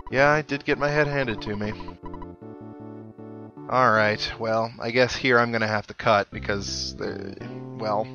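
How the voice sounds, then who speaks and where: A man's voice: lowish voice, sharp tone, highs cut off. A man hosting a casual let's play, chatting to viewers and thinking aloud with some wry joking. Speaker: gaming video host. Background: video game music.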